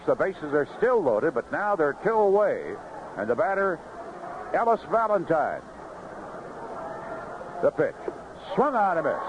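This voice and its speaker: A man calling baseball play-by-play on live radio in clipped phrases, pausing between pitches. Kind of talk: live radio sports commentary. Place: outdoor ballpark broadcast booth. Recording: noisy, muffled vintage 1970s radio broadcast.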